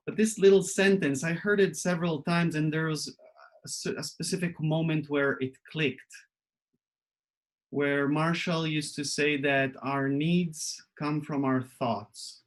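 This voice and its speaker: A man from Israel speaks in a reflective, personal way at a workshop, with a voice of middling pitch. He talks thoughtfully, searching for words, with frequent pauses and restarts. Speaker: workshop presenter.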